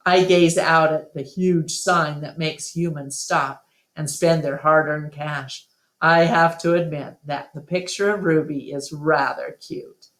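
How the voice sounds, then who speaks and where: A woman reading a children's novel aloud in an expressive, deliberate storytelling style. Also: sits low. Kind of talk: expressive read-aloud narration. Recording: roomy, echoing room sound.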